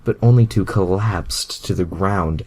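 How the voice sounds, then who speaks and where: A man's voice: sits low, a little slow, a little mellow, slightly rough. A man narrating fan fiction casually as an amateur reader, stumbling over words and dropping sweary asides.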